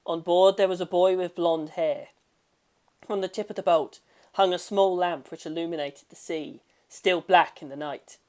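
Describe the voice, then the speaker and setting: A woman narrating a dramatic prose story, swelling emphatically at times and drawing phrase endings out into a hush. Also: lowish voice, steady tone, moderate pace. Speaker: audiobook-style reader of a fan story.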